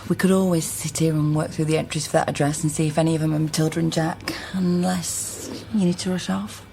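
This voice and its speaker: An actress playing a helpful, level-headed genealogist in a naturalistic radio drama. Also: female voice, deep voice, fairly even intonation, a little fast, creaky, mellow, slight background noise. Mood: helpful, thoughtful.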